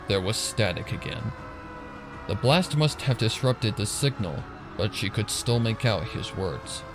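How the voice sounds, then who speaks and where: Low-voiced man narrating over music. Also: expressive, unhurried. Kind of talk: dramatic scripted story narration.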